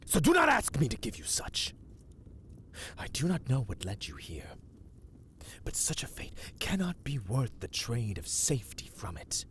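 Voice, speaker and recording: A man playing a brooding, self-loathing vampire in a dramatic ASMR roleplay, speaking in a formal, archaic, hushed and breathy manner. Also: medium pitch, wildly swooping pitch, a little slow. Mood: anguished, forceful. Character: brooding, self-loathing male vampire, menacing yet conflicted.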